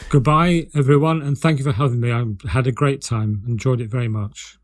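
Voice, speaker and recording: A man's voice: mid-range voice, fairly even intonation, fairly quick. A man chatting casually as a guest on a podcast. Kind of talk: casual chat.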